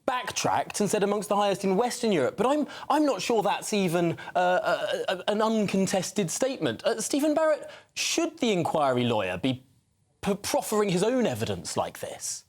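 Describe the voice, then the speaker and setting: A man's voice: high voice, sing-song, moderate pace. A British man presenting news analysis in a pointed, rhetorical way.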